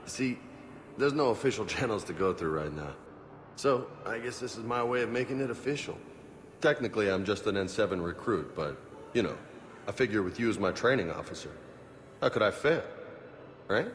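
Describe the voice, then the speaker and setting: A man's voice: lowish voice, animated, normal speed, pauses often, slight background noise, natural voice. A man playing a cocky, eager young marine lieutenant in a video game, casual, swaggering and playful. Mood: cocky, earnest.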